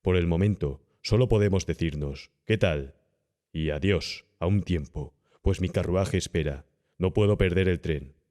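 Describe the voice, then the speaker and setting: A man's voice: low-pitched, measured pace, warm tone. A man playing an eminent older doctor in a horror audiobook, pompous and falsely courteous, with a Castilian Spanish accent. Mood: falsely cordial. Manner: pompous, condescending, evasive politeness. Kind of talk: dramatised audiobook horror tale.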